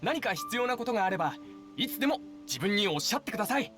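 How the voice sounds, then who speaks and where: A man's voice: sits high, sing-song, racing, music in the background. A man playing a courteous, reassuring soldier in a game dub. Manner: courteous, formal. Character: polite, reassuring male soldier.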